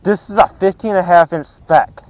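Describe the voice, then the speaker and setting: A teenage boy on a small fishing boat, chatting excitedly and exclaiming over his catches, picked up by an action camera. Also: sits high, brisk, low-quality recording. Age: teens. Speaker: high school angler. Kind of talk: excited casual chat while fishing. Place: small fishing boat on a windy lake, outdoors. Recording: wind and boat noise outdoors.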